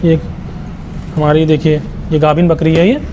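A man's voice: a little high, flat delivery, a little slow, dark tone, a little hiss or hum. A young man with an Uttar Pradesh Hindi accent, a goat farm owner showing his goats and quoting prices in a casual walkthrough, talking like a salesman and leaning on repeated fillers. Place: outdoor goat farm pens.

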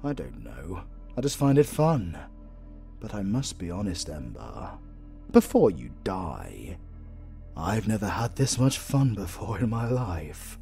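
Man acting a low, theatrical British supervillain. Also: huge pitch swings, vocal fry, mellow, faint music behind, exaggerated voice. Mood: weary, wry. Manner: theatrical, swinging from slow menace to exasperated outbursts. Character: arrogant, flirtatious, theatrical male supervillain, easily flustered. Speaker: voice actor. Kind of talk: romantic comedy audio roleplay.

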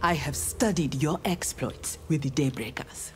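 A woman's voice: low-pitched, measured pace, music in the background. A woman with a Nigerian accent voicing a proud, righteous young ninja warrior in a fighting game, formal and defiant. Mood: resolute. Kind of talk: video game fight dialogue.

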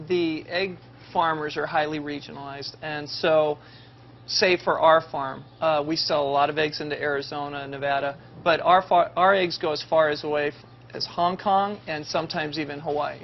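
A man's voice: fairly high pitch, steady tone, normal speed, a little hiss or hum. A man in his forties, an egg farmer plainly explaining things to students in a slightly dull recording. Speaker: third-generation egg farmer.

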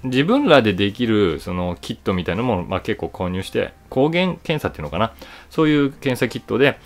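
A man in his forties talks casually to camera in a low voice. His intonation moves around a lot as he explains things conversationally, leaning on key words for emphasis. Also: big pitch swings, fairly quick. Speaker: voice actor and YouTube host. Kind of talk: casual solo commentary to camera.

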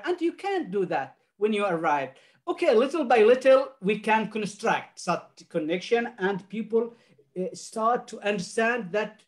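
An older man whose first language is Arabic, giving halting, earnest remarks as a panelist on a video call, with many restarts and fillers. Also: sits high.

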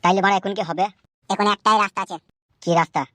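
Fast-talking, quarrelsome young village troublemaker played by a man. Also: sits high, fairly even intonation, fairly bright tone, no echo. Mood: tense, bickering. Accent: rural West Bengal. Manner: blunt, argumentative, rambling. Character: anxious, foul-mouthed young village troublemaker, male, quarrelsome. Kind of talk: comedy cartoon dubbing.

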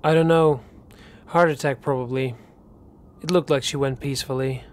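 A man's voice: mid-range voice, steady tone, normal speed, a little hiss or hum, natural voice. An actor playing a dry, weary, pragmatic man in a horror audio drama.

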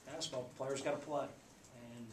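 A man in his forties, a basketball coach, speaking at a postgame press conference in a subdued, halting way with many fillers. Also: mid-range voice, steady tone. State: subdued after a loss. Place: small press room indoors. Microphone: table mic. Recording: echoey room, faint background hum.

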